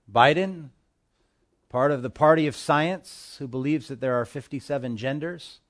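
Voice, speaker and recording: A man preaching a sermon as pastor of his church, impassioned, swinging between hushed asides and forceful declarations. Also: mid-range voice. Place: church in Hawaii.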